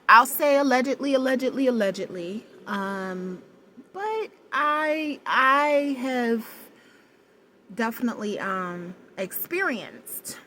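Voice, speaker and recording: A woman with a low voice talking straight to the camera in a personal vlog, hesitant and searching for words, with many pauses and restarts. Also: a bit bright, slight background noise. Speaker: vlogger. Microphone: no external mic, camera mic.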